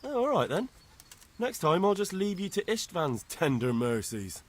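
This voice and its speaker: A wry young squire. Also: male voice, high voice, sing-song, moderate pace. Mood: teasing. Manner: dry, teasing. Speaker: game character. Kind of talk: video game cutscene acting.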